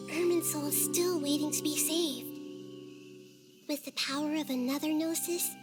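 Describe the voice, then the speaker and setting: Woman playing a wise, high-voiced little girl deity. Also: close to an everyday voice. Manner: measured, earnest, articulate. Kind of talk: scripted video game dialogue. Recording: game music under the voice.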